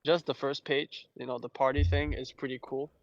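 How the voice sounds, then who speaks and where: A man from Belgium, a native French speaker with an accent to match, hosting a casual fan discussion in a rambling, halting way. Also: mid-range voice, flat delivery, creaky, dull audio, a little hiss or hum. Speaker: host.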